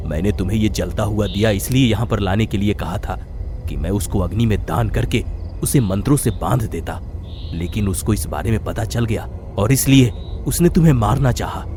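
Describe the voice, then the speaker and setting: A man's voice: on the low side, darkish tone, a little hiss or hum, lightly acted. A man playing an old tantric holy man in a horror story, solemn and resolute, speaking emphatically. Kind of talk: dramatic horror story acting.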